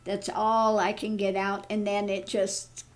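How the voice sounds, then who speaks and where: A woman in her early seventies chatting casually and haltingly, with good humour, in a lowered, easily tired voice. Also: little pitch movement. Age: early 70s. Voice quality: voice lowered and easily tired after thyroid surgery. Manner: good-humoured, laughs at her own troubles. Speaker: online vlogger and tarot reader. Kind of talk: casual personal chat.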